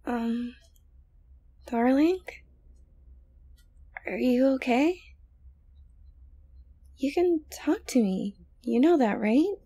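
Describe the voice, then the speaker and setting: A woman playing an obsessive, sweet-talking yandere girlfriend in an intimate ASMR audio roleplay, coaxing softly with breaths and near-whispers. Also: high-pitched, animated, very slow, long pauses, highs cut off, full character voice. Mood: concerned. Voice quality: soft, breathy, often dropping to a whisper. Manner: coaxing, cooing, falsely gentle, hesitant.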